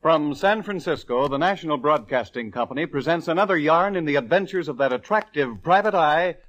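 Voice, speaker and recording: A man's voice: a little high, animated, brisk, fairly bright tone. A man announcing a program in formal, scripted 1940s network radio style. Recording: noisy vintage radio broadcast, organ behind.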